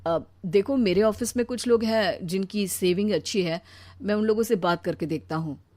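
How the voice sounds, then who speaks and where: A woman's voice: medium pitch, moderate pace, a little mellow, no room sound, natural voice. A woman voicing a weary, put-upon young Indian husband in a cartoon story, plaintive and pleading. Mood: reluctant. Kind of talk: Hindi cartoon story dialogue.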